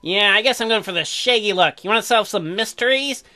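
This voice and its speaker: Man reading as a laid-back husband, bright voice. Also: high voice, a little fast, faint music behind. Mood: playful, flirty.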